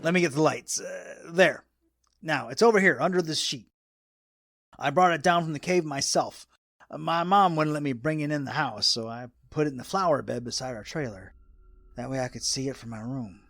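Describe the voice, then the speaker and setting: An actor playing a folksy, rambling old American hermit in a radio drama, with a gravelly, raspy voice and an excitable rustic manner. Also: male voice, a little high, normal speed, no echo. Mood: earnest. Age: older.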